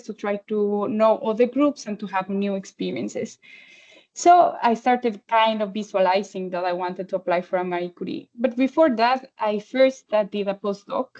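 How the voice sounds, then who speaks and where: A woman in her thirties from Mexico with a Mexican Spanish accent, a postdoctoral researcher presenting her work in a friendly, halting way with many fillers. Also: medium pitch, a little mellow. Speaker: postdoctoral researcher giving a testimonial. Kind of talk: online presentation. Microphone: video call. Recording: dull lo-fi video call audio.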